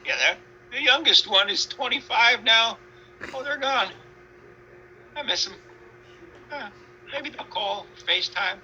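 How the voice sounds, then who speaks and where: A man playing an old, tired mother pig who grumbles haltingly about her grown children in a storytelling piece. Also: very high-pitched, sharp tone, dull audio, slight background noise, exaggerated voice. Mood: wistful. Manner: weary, complaining, halting.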